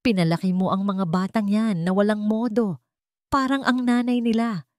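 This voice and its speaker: A haughty rich villainess acted by a woman storyteller in an audio love drama. She is shrill, outraged and spiteful, recorded dry and close. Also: steady tone, moderate pace, a little mellow, dry recording, lightly acted. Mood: hysterical outrage.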